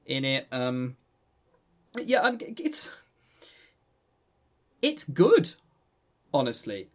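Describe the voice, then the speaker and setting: English male podcast co-host, medium pitch, casual and rambling, stumbles and repeats words. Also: huge pitch swings, extremely slow. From England. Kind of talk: casual discussion and review.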